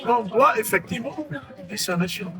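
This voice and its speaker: A man's voice: high voice, a little fast. A man with a Central African French accent preaching a sermon as a prophet, emphatic and repeating his phrases.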